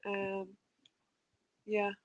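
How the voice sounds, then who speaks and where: A woman's voice: mid-range voice, completely flat delivery, extremely slow, no echo, highs cut off. A woman hosting a casual livestream chat, talking in a chatty, hesitant way with lots of filler words. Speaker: host of a livestream chat show.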